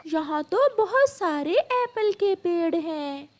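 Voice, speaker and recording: Woman doing small boy bird, cartoon, childlike, brave, polite, high slow delivery. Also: expressive, darkish tone, exaggerated voice. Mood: earnest. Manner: earnest, childlike.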